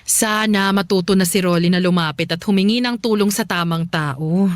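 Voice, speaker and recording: Radio drama auntie, female actor: middle register, motherly, caring, chatty, expressive. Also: mid-range voice, moderate pace, dry recording, natural voice. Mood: disapproving, concerned. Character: kindly, concerned, chatty older aunt Tita Lani. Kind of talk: Filipino radio drama acting.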